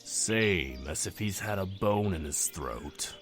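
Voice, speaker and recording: A man playing a brusque, excitable gryphon in an audiobook drama, with swooping emphasis. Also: deep voice, slow pace, over music. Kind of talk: scripted audiobook character acting.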